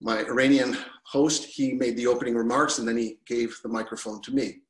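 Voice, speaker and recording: Animated man telling a story. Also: mid-range voice, a little fast, echoey room, poor audio. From Canada. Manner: animated, gestures a lot with both hands. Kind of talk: personal storytelling to an online audience. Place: living room at home. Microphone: video call webcam.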